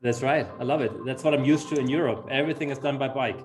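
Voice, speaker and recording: A man's voice: mid-range voice, quick pace, faint music behind. A middle-aged man from Austria with an Austrian accent, a native German speaker, hosting an upbeat, chatty webinar over a video call in an effusive, encouraging manner. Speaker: webinar host, sales executive.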